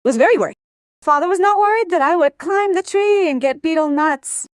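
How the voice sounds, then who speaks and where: A woman's voice: very high voice, animated, a little fast, no echo, studio quality. A woman, an interview guest telling personal stories and acting out quoted family dialogue as she goes. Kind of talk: personal storytelling.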